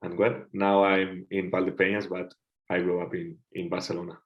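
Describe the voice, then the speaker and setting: A man from Catalonia with a Spanish accent, answering interview questions in halting English and often searching for words. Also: deep voice, level tone, phone-quality sound. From Catalonia, Spain. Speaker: guest, futsal player.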